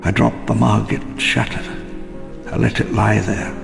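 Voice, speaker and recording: An actor playing a wistful elderly park founder, narrating his memoirs in a measured, theatrical, ruminative way for a game audio log. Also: lowish voice, little pitch movement. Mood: rueful, somber. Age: older. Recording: long reverb under clear music.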